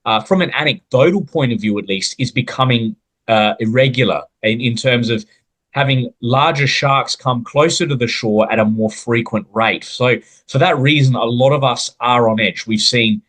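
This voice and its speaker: Podcast host, male, Australian accent, mid-pitched voice, earnest and wordy delivery. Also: moderate pace, fairly bright tone. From South Australia. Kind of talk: podcast interview.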